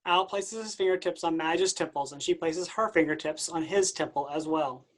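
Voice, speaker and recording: A woman's voice: low voice, normal speed. A woman reading out scripted stage directions as the narrator of a play reading.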